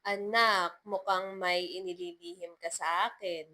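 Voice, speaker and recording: A woman's voice: fairly low pitch, steady tone, measured pace, bright, forceful tone. A woman storyteller voicing a teasing, supportive older mother with a playful, exclaiming manner.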